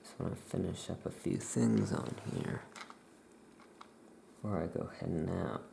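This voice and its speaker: A soft-spoken ASMR performer playing a laid-back witch shopkeeper, murmuring in an unhurried way while writing. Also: low voice, lively intonation, vocal fry. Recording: roomy echo, faint background noise.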